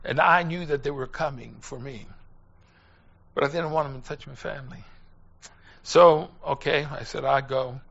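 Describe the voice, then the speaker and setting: Older male preacher, earnest storyteller with a halting delivery and a bright, forceful tone, captured in slightly dull lo-fi sound. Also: medium pitch, gravelly. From Puerto Rico. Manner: earnest, halting, with pauses and repeated phrases. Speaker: preacher and former missionary. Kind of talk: personal testimony in a sermon.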